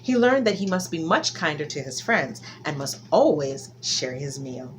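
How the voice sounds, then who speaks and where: A woman telling a folktale to children in an expressive style, stretching key words for dramatic emphasis. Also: low voice, normal speed, slight background noise. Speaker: children's storyteller.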